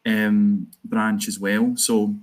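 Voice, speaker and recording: A Glaswegian man speaks in a fairly low voice, earnest and campaigning, with quick asides. He talks as a union organiser on an online panel. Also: little pitch movement. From Scotland.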